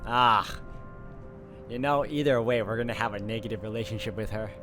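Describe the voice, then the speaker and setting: A man's voice: fairly low pitch, brisk, music in the background. A man reading a visual novel aloud as he plays it. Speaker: video game player reading aloud.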